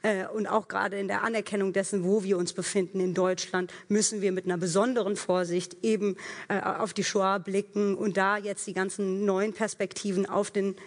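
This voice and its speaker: A woman's voice: mid-range voice, level tone, moderate pace. Hesitant woman in a reverberant hall. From Germany. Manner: thoughtful, searching for words with many fillers. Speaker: panel guest, actress and filmmaker. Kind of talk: live panel discussion. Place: theatre stage with long reverb.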